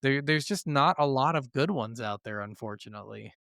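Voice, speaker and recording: A man with a clean, smooth voice in a very dry recording, chatting casually as a podcast co-host. He is blunt, grumbles freely and swears often, at an average pitch. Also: fairly quick, a bit bright, dry recording. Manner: blunt, heavy swearing, complaining. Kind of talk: casual gaming discussion.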